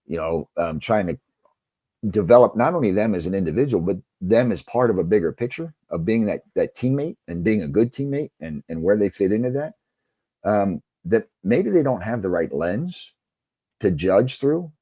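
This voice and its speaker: Deep, mellow middle-aged man. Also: lively intonation, normal speed, no room sound. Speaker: interview guest, basketball coach and author. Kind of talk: conversational interview answers.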